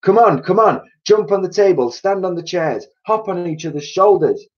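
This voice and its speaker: A man voicing a bossy, excitable monkey father from a children's storybook, snapping impatient orders in a slightly dull recording. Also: medium pitch, moderate pace, voice pushed up, natural voice. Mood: frantic urgency.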